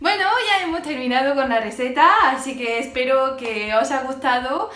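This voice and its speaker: A woman's voice: high-pitched, normal speed. French-accented woman, echoey and distant. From France. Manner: chatty, with hesitant fillers. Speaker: baking vlogger. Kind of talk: casual vlog chat to camera. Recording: echoey room, voice off-mic, music behind.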